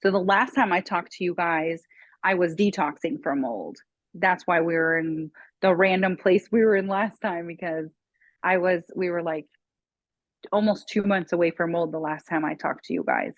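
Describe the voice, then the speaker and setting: A woman's voice: fairly low pitch, moderate pace, dry recording, lo-fi sound. A woman speaking as a guest expert in a casual podcast chat, giving emphatic, matter-of-fact advice. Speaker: guest expert on mold and parasites.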